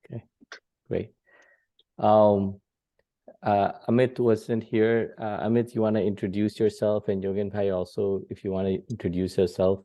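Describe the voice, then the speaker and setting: A man hosting an informal religious class, talking in short, halting phrases. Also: fairly low pitch, little pitch movement, warm tone, no echo. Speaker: session host.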